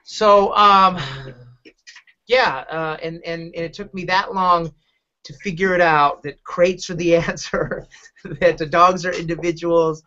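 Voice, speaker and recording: A middle-aged man hosting a podcast, telling personal stories casually in a halting, candid, rambling way. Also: fairly high pitch, fairly even intonation, sharp tone. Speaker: podcast host, dog advocate. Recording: dull lo-fi podcast audio.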